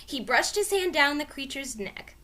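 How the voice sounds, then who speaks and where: Bright, high-pitched woman reading a storybook. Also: moderate pace, slight background noise. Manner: expressive, clearly dramatized reading. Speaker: storybook reader. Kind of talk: animated children's read-aloud narration.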